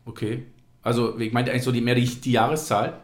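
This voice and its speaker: A man hosts a relaxed football conversation in a roomy, echoing space. His voice sits at an average pitch. He rambles along as he reminisces. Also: quick pace. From East Germany. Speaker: podcast host. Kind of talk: casual football podcast conversation. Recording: roomy, echoey sound.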